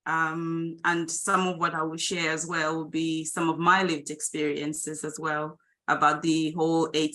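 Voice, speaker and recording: A woman's voice: low-pitched, bright, forceful tone. A woman from Malawi, a charity's programs director, introducing herself on a panel in a friendly, hesitant way with fillers.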